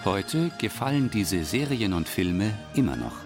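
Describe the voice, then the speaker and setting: A man reading scripted narration for a radio documentary, expressive and deliberate. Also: lowish voice, music in the background.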